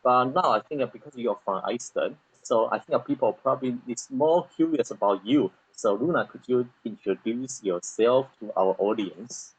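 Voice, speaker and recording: A man's voice: medium pitch, moderate pace, few pauses, husky, rough recording. A man from Taiwan interviewing a guest, giving frequent short affirmations and summarizing her answers. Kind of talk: interview hosting.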